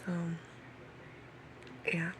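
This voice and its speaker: A woman in her late thirties talking personally to camera in a halting way, repeating phrases and sometimes dropping to a whisper. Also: fairly bright tone, slight background noise. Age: late 30s. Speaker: vlogger.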